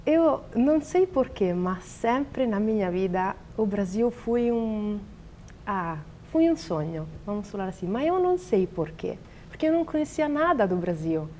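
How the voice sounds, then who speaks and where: A young Italian woman with an Italian accent, a biologist answering interview questions in a language she is still learning, pausing often to search for words. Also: medium pitch, big pitch swings, warm tone, a little hiss or hum. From Italy. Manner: hesitant, searching for words, many fillers.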